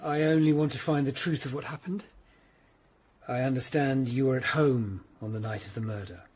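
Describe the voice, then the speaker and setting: An actor playing a courteous, measured Tudor lawyer-detective, calmly questioning witnesses in a period radio drama. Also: male voice, medium pitch, breathy edge, lightly acted. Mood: patient, probing. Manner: calm, polite, probing. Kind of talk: period audio drama acting.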